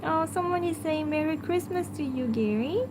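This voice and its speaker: A woman with a French accent, a native French speaker, hosting a casual livestream and answering viewers in a hesitant way full of fillers, sometimes talking softly to her pet. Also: high voice, moderate pace, noisy background.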